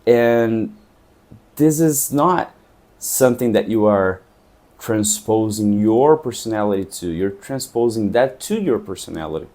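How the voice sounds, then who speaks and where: A man from Brazil speaks English with a Portuguese accent in a thoughtful, unhurried vlog. His voice sits fairly low and sounds dark and mellow. He stops now and then to look for the right word. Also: expressive. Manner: thoughtful, searching for words. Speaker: English teacher and traveler. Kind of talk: reflective vlog talk.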